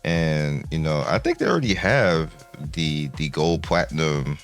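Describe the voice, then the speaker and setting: An older man with a deep voice hosting a gaming channel, chatting casually and rambling through numbers with many restarts. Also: big pitch swings, a little slow, soft background music. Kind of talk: casual commentary to viewers.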